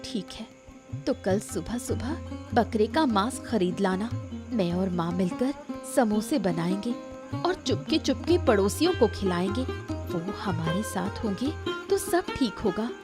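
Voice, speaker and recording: A woman's voice: mid-range voice, lively intonation, a little slow, close to an everyday voice. A woman voicing a caring, earnest, practical village wife in a Hindi moral-story cartoon. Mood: resolved. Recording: music under the voice.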